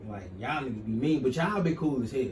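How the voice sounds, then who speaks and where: A man's voice: medium pitch, brisk, a little mellow. A young man from Mississippi with a Southern accent, speaking in laid-back slang and often adding "you know what I'm saying". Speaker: guest wrestler. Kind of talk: casual interview. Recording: far-off echoey room with faint noise.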